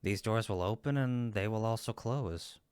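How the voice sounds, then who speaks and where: A man's voice: fairly low pitch, moderate pace, a little mellow, smooth, no room sound, clean studio sound, close to an everyday voice. A man playing a friendly cupid roommate in a comfort roleplay, teasing and supportive, with an emphatic, reassuring manner. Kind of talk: roleplay audio comfort acting, one-sided conversation.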